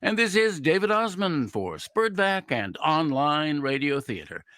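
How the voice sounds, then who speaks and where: A man's voice: on the high side, very animated, measured pace, a bit bright, dry recording, clean studio sound. A man doing scripted old-time radio announcing in a polished, formal manner.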